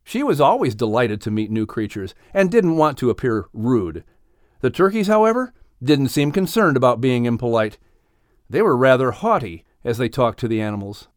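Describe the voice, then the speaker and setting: A man narrating a children's audiobook in an expressive scripted storytelling style. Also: medium pitch, moderate pace, dry recording, studio quality.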